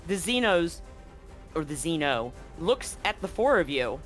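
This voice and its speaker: A man running a tabletop horror game as game master, setting scenes slowly and deliberately, often restarting his sentences. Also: fairly high pitch, very animated, a bit bright, soft background music.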